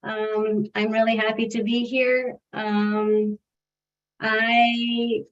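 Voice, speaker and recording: A woman from Brazil with a Brazilian accent, casually and haltingly introducing herself on a video call. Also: fairly high pitch, level tone, slight background noise. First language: Portuguese. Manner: hesitant, halting. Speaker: presenter. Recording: dull, distant video-call microphone.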